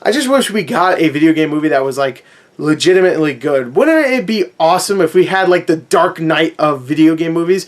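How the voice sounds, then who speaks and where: A man's voice: a little high, expressive, a little fast. A man giving a casual, opinionated rant in a sarcastic, sweary, conversational way. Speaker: movie review vlogger.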